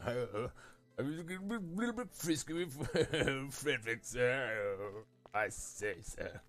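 A man voicing a pompous, monocled English gentleman who keeps saying "sir" and "I say". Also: medium pitch, expressive, slow pace, soft background music. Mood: fussy. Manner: pompous, says "I say" and "sir" constantly. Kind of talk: roleplay in a game.

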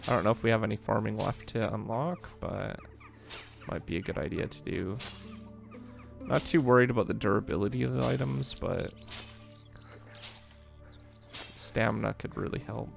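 A man hosting a casual let's play, talking in a relaxed, rambling way with lots of fillers while losing his voice. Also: lowish voice, moderate pace, vocal fry, long pauses, over music. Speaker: gaming channel host.

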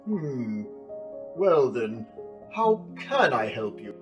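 A gentle, flustered older physician in an animated drama. He speaks slowly and courteously, his pitch swinging up and down as he hesitates and frets. Music plays behind him. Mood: kindly, pondering. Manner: fussy, courtly, hesitant, easily startled. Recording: music under the dialogue.

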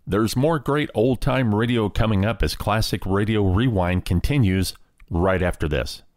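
A man's voice: on the low side, normal speed, a little mellow, no echo, clean studio sound. A man presenting scripted narration as the host of a radio program. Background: music.

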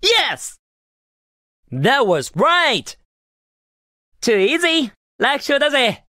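A man's voice: extremely high pitch, big pitch swings, drawn-out words, bright, forceful tone, no echo, clean studio sound, heavily acted. A male actor voicing a cocky, daring young cartoon hedgehog hero in a video game, with cool, confident, casual delivery. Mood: excited, cocky. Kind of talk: video game voice acting, short in-game lines.

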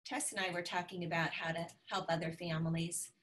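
A woman's voice: fairly low pitch, flat delivery, fairly quick, a bit bright. An American woman in her forties casually hosting an online event from home over a video call. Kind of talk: casual moderating. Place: home living room.

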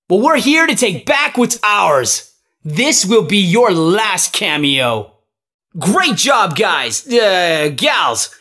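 A woman's voice: mid-range voice, fairly bright tone, exaggerated voice. An actress voicing a bold cartoon animatronic hero who declares her lines. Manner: heroic, declaring.

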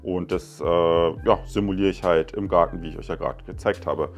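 Guy in his 30s chatting thru a gadget review at home, kinda low voice, soft music under it. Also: fairly even intonation, normal speed. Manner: chatty, explains step by step with fillers. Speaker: tech reviewer. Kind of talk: casual product review. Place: living room indoors.

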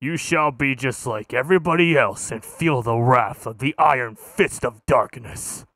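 A man's voice: medium pitch, fairly bright tone, no echo. A man voicing an arrogant, cruel martial arts villain with demonic power, gloating with contempt in video game taunts. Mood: menacing, gloating. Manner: contemptuous, slow, deliberate gloating.

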